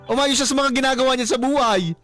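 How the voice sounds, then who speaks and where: A woman's voice: high voice, fast talker, fairly bright tone, soft background music. A woman hosting casual radio banter, teasing and giving advice with frequent interjections. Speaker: radio show host.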